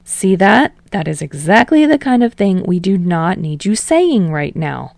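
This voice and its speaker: Lady reading as a dry exasperated manager guy in an audiobook, pointed and emphatic, bit of fry, mellow tone. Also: lowish voice, big pitch swings, a little slow, no echo, heavily acted. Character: unflappable, wry, exasperated male talent manager.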